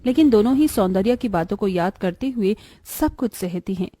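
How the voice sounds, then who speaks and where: A woman's voice: medium pitch, lively intonation, moderate pace, mellow, dry recording. A woman narrator reading a scripted children's moral story.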